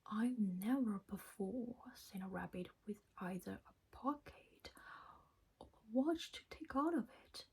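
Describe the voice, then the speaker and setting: A non-native English-speaking woman voicing a curious, talkative storybook girl musing to herself in a breathy ASMR whisper. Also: medium pitch, lively intonation, unhurried, full character voice. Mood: amazed. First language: not English.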